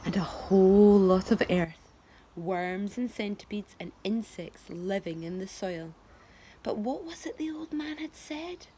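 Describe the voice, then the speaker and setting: Expressive woman teacher reading aloud. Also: medium pitch, a little slow, a little hiss or hum. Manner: expressive, asks listeners questions. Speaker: primary school teacher. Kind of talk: reading a children's book aloud.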